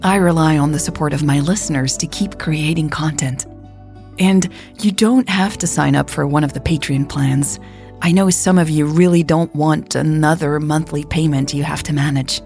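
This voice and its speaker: A native Dutch-speaking woman with a husky voice, narrating a scripted podcast in a measured way. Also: deep voice, a little mellow, faint music behind. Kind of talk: scripted audio story narration.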